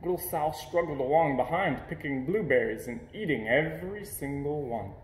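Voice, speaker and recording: A man reading a children's picture book aloud in an expressive storytelling manner. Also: on the high side, normal speed, echoey room, slight background noise, low-quality recording. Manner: expressive storytelling with playful sound words. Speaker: storybook reader.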